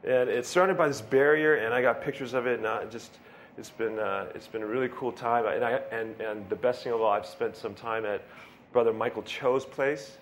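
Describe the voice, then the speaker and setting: A man's voice: medium pitch, normal speed, slight background noise. A male pastor giving a casual, rambling sermon, joking about himself and laughing often. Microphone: handheld or stand mic with feedback.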